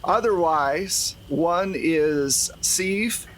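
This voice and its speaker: A man's voice: fairly high pitch, extremely slow. A man acting as game master, narrating a tabletop role-playing game to the players. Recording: faint background noise.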